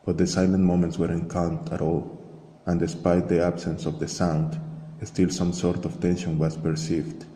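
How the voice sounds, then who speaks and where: Deep monotone man narrating in long reverb. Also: moderate pace. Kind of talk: slow scripted first-person narration, spoken-word art piece. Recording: echoey long reverb, faint hiss.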